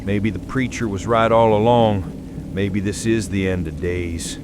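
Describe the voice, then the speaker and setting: A man's voice: fairly even intonation, close to an everyday voice. A man playing a weary, middle-aged county sheriff, giving a grave, folksy emergency broadcast in a horror audio drama. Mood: resigned, grave. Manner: folksy, slow, resigned, grave. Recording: noisy survivor radio transmission, vehicle-like rumble.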